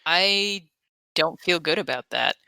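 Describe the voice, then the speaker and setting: A woman's voice: lowish voice, lively intonation, unhurried, gravelly, pushed and bright, dry recording. A woman chatting casually as a player in a tabletop roleplaying game.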